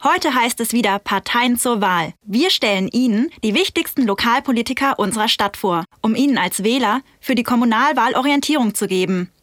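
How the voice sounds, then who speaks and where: An actress playing a polite, probing local radio interviewer, formal and measured, in a radio play. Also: female voice, on the high side, animated, fairly bright tone, no echo, studio quality. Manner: formal, measured presenter delivery.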